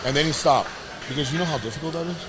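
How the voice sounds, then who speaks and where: A man's voice: a little high, racing, heavy background noise. A man of about fifty giving casual live sports commentary. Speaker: commentator, competitive lifter. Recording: loud crowd in gym.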